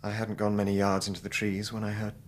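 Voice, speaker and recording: An actor playing a distressed, defensive young man testifying in a radio drama, earnest, emotional and halting. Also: lowish voice, monotone, a little hiss or hum, lightly acted. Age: young adult.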